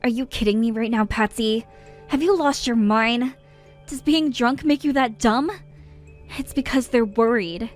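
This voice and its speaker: A woman's voice: fairly high pitch, measured pace, faint music behind, lightly acted. A woman voicing a young bride who is indignant and scolding. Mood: exasperated. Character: furious young bride, exasperated and sharp. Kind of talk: dramatized story acting.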